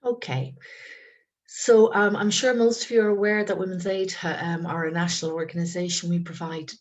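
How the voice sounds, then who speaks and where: A woman, giving a careful webinar talk as a trainer, croaky from a head cold, with many pauses and ums. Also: on the low side, flat delivery. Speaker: trainer and guest speaker. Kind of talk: webinar presentation.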